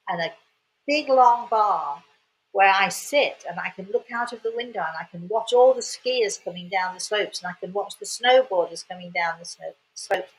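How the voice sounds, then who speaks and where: A woman's voice: mid-range voice, vocal fry, a bit bright, poor audio. An older Englishwoman hosts her show and tells a casual story. She speaks with a chatty, rambling manner. She often repeats words and drops in cheerful asides. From England. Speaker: host.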